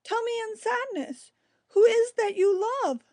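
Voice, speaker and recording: A woman playing a concerned, gentle young male cousin in a Shakespearean drama, with an earnest, deliberate delivery. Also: very high voice, lively intonation. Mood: earnest, pressing.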